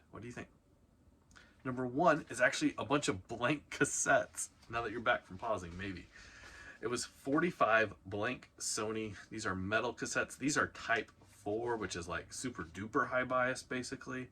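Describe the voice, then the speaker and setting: A man's voice: medium pitch, normal speed, bright, forceful tone, slight background noise. A man hosting a video, talking through a countdown in a casual, informal way with punchy emphasis. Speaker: host of a reselling video channel. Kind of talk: casual countdown commentary to camera.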